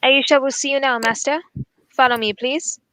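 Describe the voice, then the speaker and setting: A woman playing a calm, courteous male detective in a formal, measured radio drama style. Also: high voice, clean tone, no echo. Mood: discreet, obliging. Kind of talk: 1940s radio drama acting.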